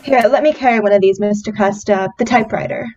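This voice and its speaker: A woman playing a caring, gently fussing young lodging-house woman in an old-time radio drama. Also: mid-range voice, quick pace, lightly acted. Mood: welcoming. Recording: slightly muffled, faintly noisy.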